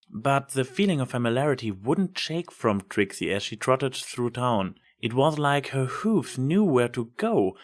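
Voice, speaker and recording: A man narrating fiction from a script as a dramatic story reader. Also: mid-range voice.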